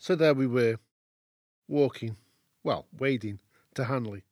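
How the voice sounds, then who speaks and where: A man's voice: medium pitch, measured pace, a little mellow, natural voice. A man playing the narrator of an audio drama, wryly recalling a boyhood escapade in scripted first-person storytelling. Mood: wry, reminiscent.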